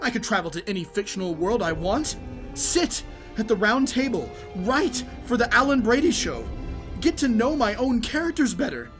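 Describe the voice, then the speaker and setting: A man's voice: sits high, very animated, moderate pace, over music. An actor playing a middle-aged former writer and estranged father, eager and evasive, in an audio drama. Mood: excited.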